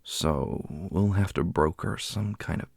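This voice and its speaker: A man playing a solemn, strategic warrior leader in a fantasy audio roleplay, speaking in a formal, measured way. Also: sits low, vocal fry, slightly rough, dry recording, studio quality, heavily acted. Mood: measured, planning.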